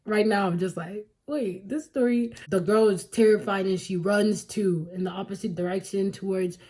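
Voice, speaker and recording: A woman's voice: mid-range voice, normal speed, warm tone, slightly rough. A woman from Cameroon, a vlogger casually telling a story in a chatty, rambling way, often correcting herself and laughing.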